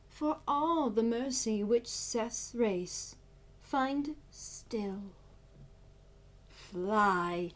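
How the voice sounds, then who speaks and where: A woman performing a proud, loving young woman facing death in a classical verse monologue, declaimed gravely and with measured weight. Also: fairly high pitch, a little mellow. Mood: tender farewell.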